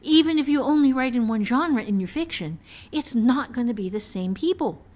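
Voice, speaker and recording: A woman's voice: fairly high pitch, expressive, moderate pace, darkish tone. A woman author and teacher explaining casually on a podcast, repeating phrases for emphasis.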